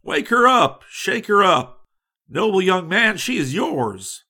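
A man voicing a gruff, domineering old farmer in a comic storybook melodrama, fierce and commanding. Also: sits high, sing-song, slow, sharp tone, voice pushed up, full character voice. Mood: excited.

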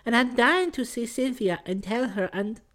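A man acts out a sweet young Frenchwoman from a storybook. The voice is pitched very high, bashful and gushing with shy affection. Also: lively intonation, normal speed, fairly bright tone. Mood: bashful, happy.

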